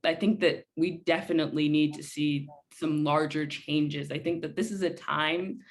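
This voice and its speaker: A woman's voice: low-pitched, fairly even intonation, normal speed. A woman acting in a documentary play as a sympathetic, reform-minded woman in a group discussion. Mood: sympathetic, earnest.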